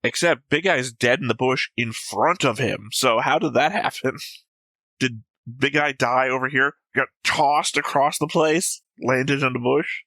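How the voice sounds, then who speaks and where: Male co-host, bright and sharp tone, analytical and playful, dry close mic. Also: medium pitch, a little slow, no echo. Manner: analytical, jokey, acts out quotes. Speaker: reaction show co-host. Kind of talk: casual discussion reading notes.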